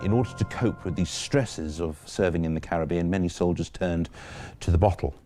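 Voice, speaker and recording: A man speaking in a documentary interview, deliberate and dropping frequent fillers. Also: sits low, warm tone, soft background music.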